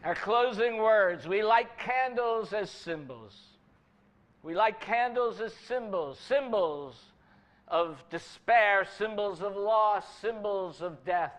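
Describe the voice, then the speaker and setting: A man's voice: high-pitched, takes it slowly, fairly bright tone. A male minister giving the closing words of a sermon in a solemn, repeating cadence in a church. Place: church sanctuary.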